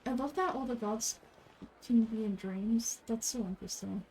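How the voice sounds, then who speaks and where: A woman's voice: a little high, moderate pace, a little mellow, a little hiss or hum, rough recording. A woman talking casually in offhand asides while playing and voicing a game. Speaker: amateur game voice-over performer.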